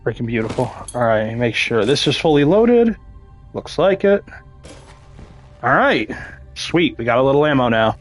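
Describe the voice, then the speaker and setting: A man's voice: mid-range voice, big pitch swings, moderate pace, long pauses. A chatty gaming YouTuber, a man whose voice is still slightly scratchy from illness, talks casually through his gameplay in an upbeat manner. Faint music plays underneath him.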